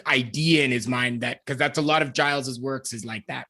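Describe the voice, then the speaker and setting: A man's voice: mid-range voice, normal speed, bright, forceful tone. A man talking enthusiastically and in a rambling way as a panelist in a podcast discussion.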